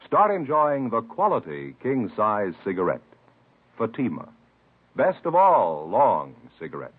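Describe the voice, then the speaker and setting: A man's voice: fairly low pitch, huge pitch swings, a little slow, slightly rough, phone-quality sound. A man announcing a 1950s radio commercial in an emphatic, salesmanlike style. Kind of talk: 1950s radio cigarette advertising pitch. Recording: band-limited vintage radio recording.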